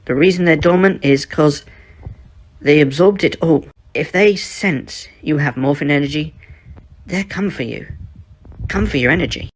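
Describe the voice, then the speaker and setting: A man voicing an eager young woman, pitched up. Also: normal speed, a little hiss or hum, close to an everyday voice. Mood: grim explaining. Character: brown-haired young woman, eager, matter-of-fact survivor. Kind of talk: amateur fan fiction character reading.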